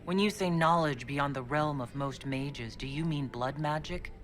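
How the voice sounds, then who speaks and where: A man playing a wry hero leader, slightly high. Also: normal speed, fairly bright tone, soft background music, close to an everyday voice. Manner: wry, self-deprecating. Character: wry leader of a heroic order. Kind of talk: video game cutscene acting.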